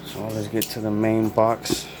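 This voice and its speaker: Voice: medium pitch, monotone, a little slow, background noise. A person narrating an unboxing in a casual, laid-back, informal way. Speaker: unboxing video host. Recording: loud music behind the voice.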